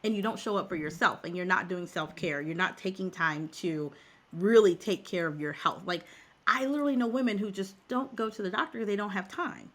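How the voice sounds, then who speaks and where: A woman's voice: mid-range voice, a little fast, a bit bright. A middle-aged woman who works as a career coach, talking as a guest in a podcast interview. She is emphatic and repeats words for stress.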